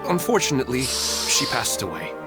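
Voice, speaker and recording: A man playing a gentle, wistful widower in a video game, polite and a little hesitant. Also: mid-range voice, big pitch swings, moderate pace, natural voice. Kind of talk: video game character acting. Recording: game music behind the voice.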